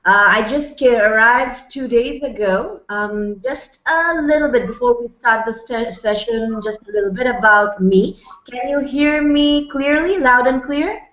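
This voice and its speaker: A woman's voice: fairly high pitch, a little slow, few pauses, a bit bright. A woman from Bangladesh in her thirties leads a virtual lecture, her tone friendly and encouraging, with frequent fillers. The sound is roomy and dull, as on a video call. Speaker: guest lecturer.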